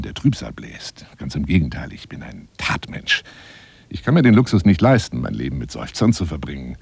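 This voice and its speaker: A man's voice: a little mellow, heavily acted. An actor playing a lonely, boastful middle-aged bachelor adventurer wooing a woman by phone in a radio drama, with flowery, insinuating talk that drops into near-whispers. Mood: melancholy, confiding. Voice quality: deep, gravelly. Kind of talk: radio drama monologue, one side of a phone call.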